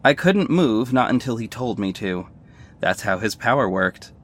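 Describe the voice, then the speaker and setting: Superhero lead for a male actor: first-person audio drama narration, medium-pitched, wry, sardonic, earnest storyteller. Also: lively intonation, moderate pace, close to an everyday voice. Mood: tense recounting. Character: sardonic young male superhero narrating his own fight.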